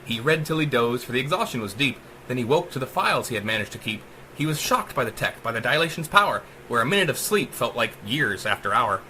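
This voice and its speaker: A man narrating a dark rhyming story as a dramatic audiobook, with theatrical, emphatic rhythm. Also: mid-range voice, animated, quick pace, a little hiss or hum, rough recording.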